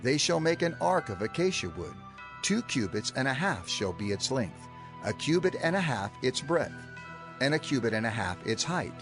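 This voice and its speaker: An actor playing a solemn, fervent male prophet in a dramatized scripture reading, declaiming deliberately to his people. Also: mid-range voice, sing-song. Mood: solemn, instructing. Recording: music bed behind the voice.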